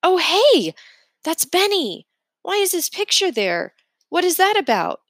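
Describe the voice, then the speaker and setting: Woman as an earnest, halting schoolboy, pitched up. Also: big pitch swings, a bit bright, dry recording, studio quality, exaggerated voice. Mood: agitated, confused. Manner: plain-spoken, halting, earnest. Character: earnest, simple-hearted schoolboy, sweet and easily flustered.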